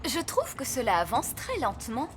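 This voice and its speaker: A woman's voice: high voice, huge pitch swings, normal speed, over music. A woman voicing a bossy, practical fairy nanny in a children's cartoon, proper and curt with an exasperated edge. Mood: impatient. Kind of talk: children's cartoon dub acting.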